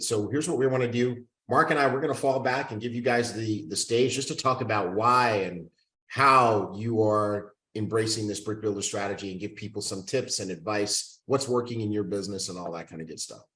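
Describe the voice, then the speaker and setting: Bright, echoey male call host. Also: lowish voice, a little fast. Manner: playful, joking banter. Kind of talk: casual business call hosting.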